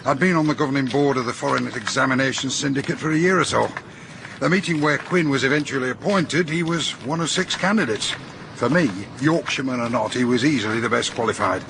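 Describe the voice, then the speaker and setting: Actor as an opinionated, articulate university academic, noisy backdrop. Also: male voice, medium pitch, fast, vocal fry, close to an everyday voice. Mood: chatty, measured. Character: opinionated male Oxford academic from Yorkshire. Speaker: university lecturer being questioned. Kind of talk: naturalistic radio drama acting.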